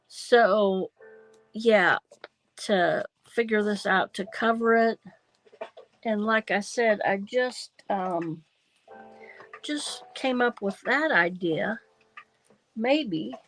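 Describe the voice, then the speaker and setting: A woman hosting a craft livestream, nasal from a sinus cold, thinking aloud slowly and hesitantly with many ums. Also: mid-range voice, rough recording. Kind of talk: casual craft livestream chat.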